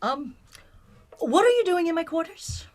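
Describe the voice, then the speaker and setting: Woman as a deliberate, steely starship captain, slow-paced. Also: very high voice, big pitch swings, heavily acted. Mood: wry, evasive. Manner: terse, deliberate, authoritative. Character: commanding female starship captain, guarded, steely, scheming. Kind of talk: science fiction audio drama acting.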